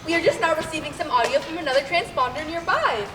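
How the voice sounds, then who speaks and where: A woman's voice: high-pitched, lively intonation, quick pace, crowd noise. A young woman acting a solemn, formal radio news anchor in a comic radio play, with portentous delivery. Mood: grave, portentous. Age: young adult. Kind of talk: comic old-time radio broadcast acting. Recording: distant, echoey hall, noisy background.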